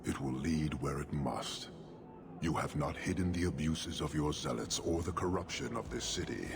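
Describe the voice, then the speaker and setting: Brief: stern warlord, very deep and gravelly, measured, game audio with noisy ambience. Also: male voice, expressive, exaggerated voice. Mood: cold. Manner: cold, measured, imperious. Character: stern towering male warlord leader.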